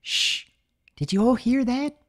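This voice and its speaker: A woman's voice: fairly high pitch, unhurried, voice pushed up. Woman doing a folksy country farm filly. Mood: cheerful. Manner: country drawl, folksy phrasing. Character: folksy country farm girl pony, cheerful.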